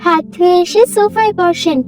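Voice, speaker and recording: Polite, caring young housewife for a cartoon , voiced by a woman, extremely high voice over faint music. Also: normal speed, a little mellow. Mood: welcoming. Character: considerate young mother, hospitable household employer. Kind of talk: cartoon dialogue.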